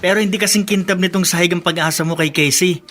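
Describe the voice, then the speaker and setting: A wisecracking young sidekick in a radio drama. His voice is high and sharp, needling and full of slang. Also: steady tone, fast talker. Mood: teasing. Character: teasing, wisecracking young male buddy. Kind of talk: Filipino radio drama acting.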